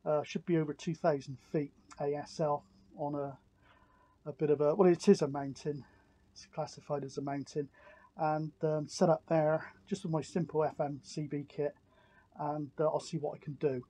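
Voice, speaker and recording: A man's voice: a little high, little pitch movement, normal speed, mellow. A middle-aged man chatting casually outdoors as a vlogger and CB radio operator. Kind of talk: casual vlog talk to camera and radio chat. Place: outdoors on open hillside in countryside.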